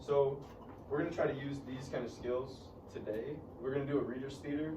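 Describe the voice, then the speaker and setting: Female teacher, very deep voice, informal classroom instruction, casual check-in questions, noisy background. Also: fairly even intonation, a little fast. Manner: casual, checks understanding with short tag questions. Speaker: teacher leading a reader's theater lesson. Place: classroom, indoors. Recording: roomy, distant classroom recording with background noise.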